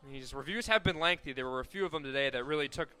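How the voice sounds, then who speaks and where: A young man calls a college softball game on radio with a bright, crisp, forceful voice. He slips and corrects himself as he goes and climbs high and loud when a ball is hit deep. Faint music plays underneath. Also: fast. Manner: rises sharply and loud on big plays, corrects himself often. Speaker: play-by-play announcer. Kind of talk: radio play-by-play commentary.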